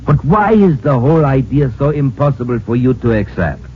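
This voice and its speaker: An actor playing a measured, formal German scientist professor in an old-time radio drama. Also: male voice, medium pitch, big pitch swings, close to an everyday voice. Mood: earnest. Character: thoughtful German scientist professor, open to wild theories. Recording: muffled old radio recording, faint hiss.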